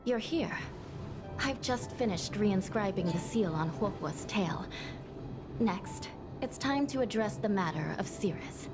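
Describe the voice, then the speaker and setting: A woman voicing a composed, stern but fair judge in a video game, formal and measured. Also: medium pitch, lively intonation, music in the background, close to an everyday voice. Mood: businesslike. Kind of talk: video game cutscene acting.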